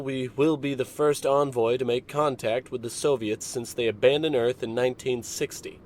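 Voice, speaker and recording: A man's voice: mid-range voice, darkish tone, a little hiss or hum, natural voice. A man playing a gung-ho, pompous American spaceship captain in a bombastic, earnest radio serial style. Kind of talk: 1950s radio serial parody acting.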